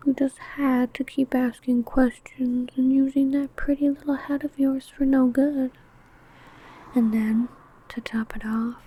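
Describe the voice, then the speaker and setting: A woman playing a seductive, possessive female vampire who stalks her prey in an intimate ASMR roleplay, teasing and menacing in a breathy, often whispered voice. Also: sits high, dark tone, low-quality recording. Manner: slow, teasing, menacing, deliberate.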